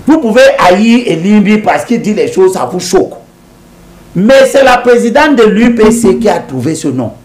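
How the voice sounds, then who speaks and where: A man with a high voice that swings widely up and down. He lectures emphatically and repeats his points to hammer them home, speaking as a guest on a political TV interview. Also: very animated, normal speed, darkish tone.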